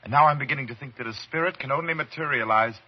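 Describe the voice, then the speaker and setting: An actor playing an obsessed, murderous doctor in a theatrical old-time radio horror drama. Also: male voice, medium pitch, racing, close to an everyday voice. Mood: quietly menacing. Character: obsessed doctor turned coldly murderous, later terrified. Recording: dull, noisy vintage radio recording.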